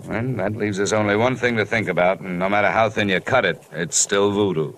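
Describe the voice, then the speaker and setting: A man's voice: lowish voice, brisk, a bit bright, slight background noise, natural voice, poor audio. A man playing a wry, hard-boiled investigator in an old radio detective drama, with clipped, sardonic delivery. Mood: wry, probing. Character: wry, hard-boiled male insurance investigator narrating his case. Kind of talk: old-time radio detective narration and dialogue.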